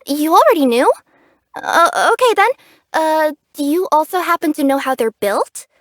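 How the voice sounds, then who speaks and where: A woman's voice: high voice, animated, normal speed, a bit bright, no room sound. A woman voicing a cheerful, curious young female cat yokai who works as a package courier in a video game, earnest and bubbly in manner. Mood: curious, chatty. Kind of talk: English video game character voice acting.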